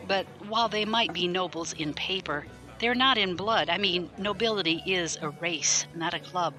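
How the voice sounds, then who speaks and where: A man's voice: high voice, normal speed, bright, forceful tone, soft background music. A man acting a probing, blunt military defense lawyer in a science fiction audio drama, pointed, articulate and wryly skeptical. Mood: curious, wry.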